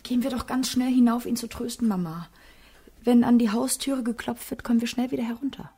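A naive, tender young girl in a radio play. Her voice is very breathy and fairly high, often sinking into a curious half-whisper. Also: steady tone, fairly quick, dark tone. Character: gentle, earnest, naive young daughter. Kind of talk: radio drama acting.

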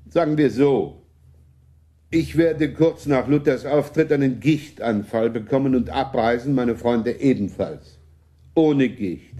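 Casting: a man as sly elder nobleman for radio drama, relaxed dark timbre, medium pitch, ironic. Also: close to an everyday voice. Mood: sly, avuncular. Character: wily, avuncular older prince, slyly evasive. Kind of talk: German radio play acting.

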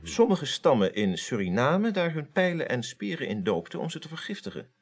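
An actor playing a courteous, assured forensic expert explaining findings in a 1980s Dutch radio drama. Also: male voice, mid-range voice, very animated, normal speed, slightly rough. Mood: composed, explanatory.

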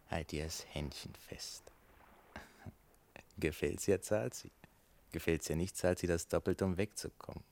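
An actor in a German radio play as a sly boatman who fleeces tourists, talking deliberately to himself. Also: deep voice, slight background noise. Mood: scheming.

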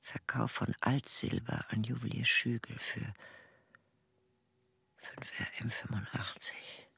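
A woman with a husky, creaky voice soberly reading archival inventories in clipped lists for a documentary radio play. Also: a bass voice, steady tone, slow pace, sharp tone, dry recording, in a whisper.

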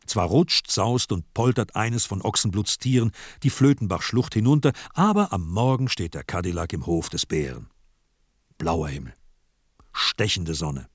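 A man narrating a radio drama as a vivid storyteller in scripted, measured prose. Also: low voice, very animated, slightly rough, dry recording, clean studio sound, heavily acted. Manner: vivid, present-tense storytelling.

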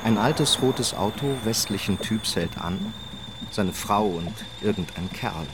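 An actor narrating a melancholy crime radio drama in a terse, detached manner. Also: male voice, lowish voice, a little slow, noisy background, natural voice. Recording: music behind the narration.

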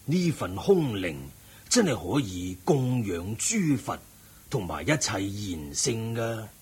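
A genial, learned lay sage of a Buddhist radio drama. His voice is low and very husky, and he preaches in slow, expressive phrases. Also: heavily acted. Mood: earnest, instructive. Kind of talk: Cantonese radio drama acting.

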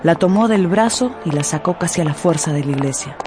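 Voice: mid-range voice, animated, fairly quick. Theatrical narrator over prominent music. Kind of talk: dramatic scripted audiobook and radio drama narration.

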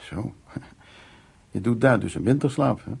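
A man's voice: on the low side, vocal fry, heavily acted. An actor playing a composed, tolerant mature man in a radio play, terse and dry in manner. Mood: calm, conciliatory. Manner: calm, terse, measured. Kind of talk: naturalistic radio play acting.

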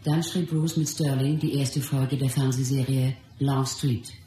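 An actress playing a wife who recalls life with her husband in a measured, composed radio drama narration. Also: extremely low pitch, fairly even intonation, warm tone, roomy sound, a little hiss or hum, poor audio. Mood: reflective. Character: Bruce Lee's wife, reflective woman recalling their life.

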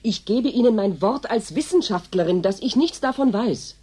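Husky, indignant female scientist. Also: medium pitch, lively intonation, moderate pace, mellow. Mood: defensive. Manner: formal, emphatic. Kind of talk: German radio drama acting.

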